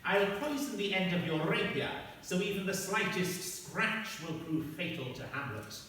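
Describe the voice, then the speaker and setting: A man's voice: sits high, animated, moderate pace, sharp tone, slight background noise. A man playing a gleeful, scheming villain king with hammy, conspiratorial delivery in an amateur comic radio play. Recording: echoey hall, distant mic.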